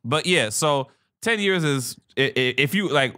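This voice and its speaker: A man's voice: a little high, lively intonation, measured pace, pushed and bright. A man hosting a podcast, arguing sports points in casual banter and repeating his points. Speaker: podcast host.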